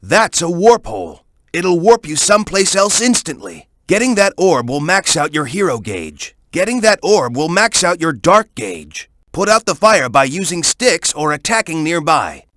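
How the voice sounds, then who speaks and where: A man's voice: a little high, sing-song, normal speed, few pauses, fairly bright tone, dry recording, clean studio sound. A man voicing a cocky, tough young echidna hero in a video game, giving hints in a confident, punchy, instructive manner. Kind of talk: video game voice acting, tutorial hints and banter.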